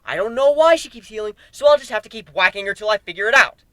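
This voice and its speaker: A man's voice: high-pitched, expressive, fast, sharp tone, dry recording, voice pushed up. A man voicing a brash, foul-mouthed female griffin warrior who barks urgent orders in a dramatic story reading. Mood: impatient, commanding.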